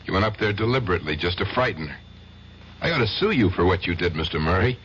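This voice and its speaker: An actor playing a defensive, agitated acquitted suspect in a 1970s radio drama. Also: male voice, low voice, big pitch swings, quick pace, fairly bright tone, a little hiss or hum. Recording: dull old radio recording.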